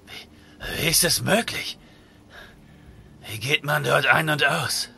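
Gravelly, brooding barbarian warrior. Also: male voice, a little high, pushed and bright, full character voice. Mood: puzzled. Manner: terse, deliberate, grim. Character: brooding young barbarian warrior, terse and watchful. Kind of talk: German fantasy audio drama acting. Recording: slight noise with ambient sound.

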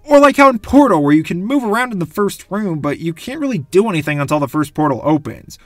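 A man's voice: high voice, quick pace, dry recording. A young man giving enthusiastic, gushing scripted commentary as a video game reviewer. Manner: gushing, animated.

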